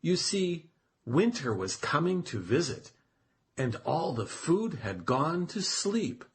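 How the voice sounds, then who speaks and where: A storyteller reading a children's tale aloud as expressive, deliberate narration. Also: mid-range voice, a little hiss or hum.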